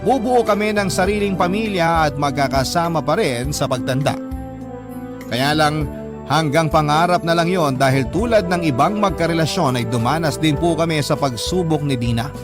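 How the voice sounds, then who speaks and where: A man's voice: on the high side, natural voice. A man voicing an earnest, lovelorn young letter writer, narrating politely and confidingly in a Tagalog radio drama. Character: earnest, lovelorn young man recounting his lost love.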